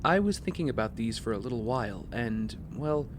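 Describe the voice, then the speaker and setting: An actor playing a wealthy young heir, polite, smooth-talking and guarded, in audio drama dialogue. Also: male voice, mid-range voice, animated, a little fast, background noise, lightly acted. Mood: earnest, persuasive.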